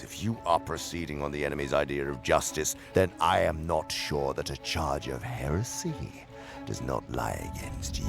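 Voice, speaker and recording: An actor playing a pompous, contemptuous demon uncle who mentors with menace in a theatrical radio drama. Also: male voice, very deep voice, gravelly, full character voice. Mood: menacing. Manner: pompous, deliberate, sweeping from hushed to thundering.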